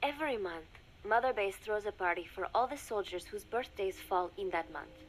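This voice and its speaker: An actress playing a young secret agent confiding in a recorded diary, speaking in measured, formal phrases that often drop to a murmur, wistful and resigned. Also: female voice, mid-range voice, expressive, slight background noise, lightly acted, rough recording. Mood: wry, amused. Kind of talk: scripted dramatic monologue, recorded diary.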